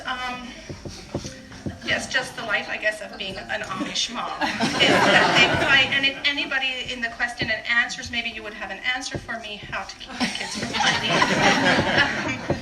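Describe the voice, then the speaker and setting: A woman speaking modestly and gently to a group about life as a mother. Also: a little high, distant mic, very noisy. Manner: modest, gentle, softly laughing. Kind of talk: short talk to an audience. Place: large room at a community lunch. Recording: echoey room, voice from afar, loud music.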